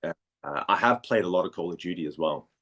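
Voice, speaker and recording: Very deep-voiced man, halting delivery. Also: animated, fairly bright tone. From Denmark. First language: Danish. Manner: halting, searching for words, repeats phrases. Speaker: interview guest, voice actor. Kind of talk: casual interview chat.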